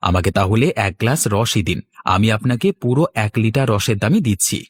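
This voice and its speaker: A man's voice: on the low side, lively intonation, brisk, close to an everyday voice. A man playing a naive, polite city visitor in a cartoon, chatty and trusting. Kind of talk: cartoon voice acting.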